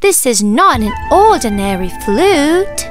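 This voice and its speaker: High sweet kid god boy for a cartoon, earnest and wise, music behind. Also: big pitch swings, slow, exaggerated voice. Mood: earnest, explaining. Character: kind, wise little boy god with magical flute. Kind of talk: cartoon voice acting.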